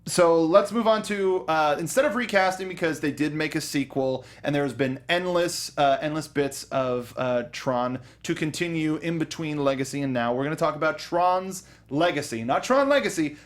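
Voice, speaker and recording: A man's voice: fairly high pitch, normal speed, hardly any pauses. A man co-hosting a film review show, talking casually with a fan's enthusiasm. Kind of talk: casual chat and commentary.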